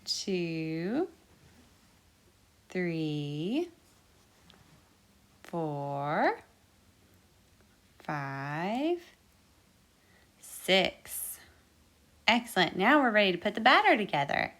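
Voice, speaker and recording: Woman, children's host, very animated pitch, slow pace, encouraging sing-song delivery. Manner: encouraging, sing-song instructions with drawn-out, repeated words. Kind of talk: interactive children's baking lesson with counting and singing.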